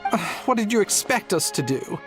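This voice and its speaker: Cheeky loyal young waiter type in a video game, high voice, expressive. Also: male voice, brisk, faint music behind. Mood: indignant. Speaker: voice actor. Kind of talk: game cutscene acting.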